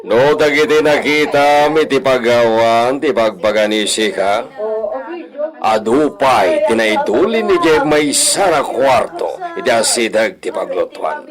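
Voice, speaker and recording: A man playing a dignified hacienda master in an Ilocano radio drama, measured and commanding, with drawn-out phrases. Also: mid-range voice, expressive, crowd noise, close to an everyday voice. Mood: instructing. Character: dignified hacienda master, respectful adult son.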